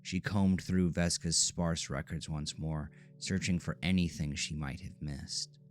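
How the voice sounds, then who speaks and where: A man reading scripted horror audio drama narration in a measured, ominous storytelling manner. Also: deep voice, fairly even intonation, faint music behind.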